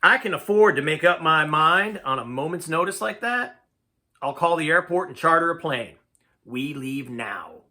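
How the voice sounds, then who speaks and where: A man's voice: on the high side, animated, normal speed, pushed and bright. A man reading a storybook part as a domineering, scornful, impatient rich man, curt and sneering. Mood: commanding.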